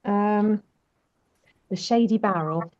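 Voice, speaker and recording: A woman's voice: medium pitch, takes it slowly. A woman playing a tabletop roleplaying game, speaking casually in character in a hesitant, polite way, on muffled audio.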